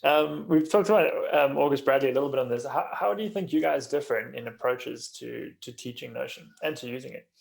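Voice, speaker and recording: A man hosting a podcast interview, asking questions in a relaxed way and affirming often. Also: medium pitch, fairly quick, gravelly, few pauses. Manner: relaxed, frequent affirming "yeah". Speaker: podcast host.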